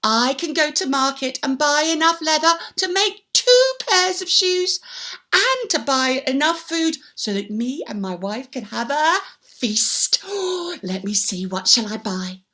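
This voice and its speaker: A woman's voice: sits high, animated, measured pace, bright, forceful tone. A woman telling a story to young children in a theatrical, playful way, repeating words and addressing the listener.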